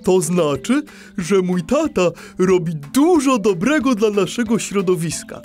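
Woman as a slow-talking proud hippo boy. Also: mid-range voice, big pitch swings, darkish tone, faint music behind. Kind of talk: children's audio play acting.

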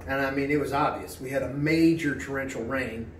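A man's voice: mid-range voice, fairly even intonation, brisk, roomy sound. A middle-aged man talks through a problem at a whiteboard in casual, plain-spoken fashion, with plenty of fillers along the way. He is caught by a camera set a few steps back, so his voice sounds distant and bounces around the small room, with a faint hum underneath. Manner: plain-spoken, many fillers and "right?" checks. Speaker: real estate agent. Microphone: camera a few steps away.

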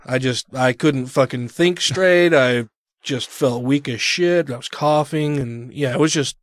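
A man's voice: mid-range voice, a little slow, dry recording, studio quality. A man hosting a podcast, chatting casually and swearing freely while congested and recovering from an illness. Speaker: podcast host. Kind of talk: casual chat.

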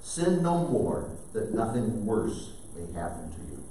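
An older man preaching a sermon from a pulpit, animated, with sudden loud bursts. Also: on the low side, a little slow, a little hiss or hum. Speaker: pastor. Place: church sanctuary. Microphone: far camera, preaching at a wooden pulpit. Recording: very echoey sanctuary heard from a distance.

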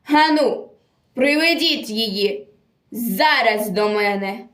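A woman plays a cruel wartime commandant in a poetry recitation. The voice is high, barking and menacing, with a commanding edge. It rings in an echoey space, a little away from the microphone. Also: lively intonation, takes it slowly, a bit bright. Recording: echoey room, distant microphone.

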